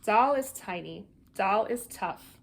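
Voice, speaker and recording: A woman reads a children's picture book aloud with an animated, deliberate storytelling delivery. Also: mid-range voice, creaky, slight background noise. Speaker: storybook reader.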